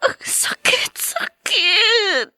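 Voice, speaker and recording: A woman's voice: very high-pitched, sing-song, very slow, sharp tone, dry recording, exaggerated voice. An actress playing a young woman grieving her mother, tearful and pleading. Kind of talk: radio-style drama acting.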